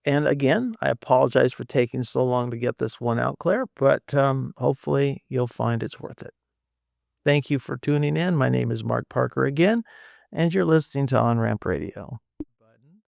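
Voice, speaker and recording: A man in his sixties hosts a laid-back podcast conversation, rambling in a friendly way with many fillers. His voice has a gravelly edge, heard dry and close with no room sound, at a middling pitch. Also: moderate pace, darkish tone, dry recording. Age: 60s. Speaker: podcast host. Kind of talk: casual conversational interview.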